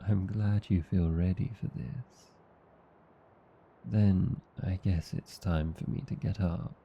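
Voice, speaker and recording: A man with an Australian accent playing a gentle, reassuring vampire husband in an intimate ASMR roleplay, tender and soothing. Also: steady tone, slow pace, muffled recording, exaggerated voice. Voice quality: low, dark, gravelly. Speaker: voice actor.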